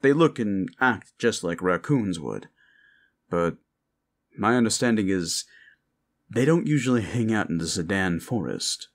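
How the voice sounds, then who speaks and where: A man's voice: fairly low pitch, expressive, unhurried. A man improvising a fantasy ASMR roleplay in the style of a game master. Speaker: roleplay audio performer.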